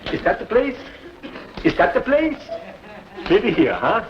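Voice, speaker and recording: German-accented dentist, high bouncy voice, bossy and giggly, old hissy muffled tape. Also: male voice, huge pitch swings, a little slow, full character voice. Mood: coaxing. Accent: German, put on. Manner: bossy, chuckling, sing-song orders. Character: excitable fussy male dentist with a German accent. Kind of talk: vintage comedy sketch. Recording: dull lo-fi old recording with hiss.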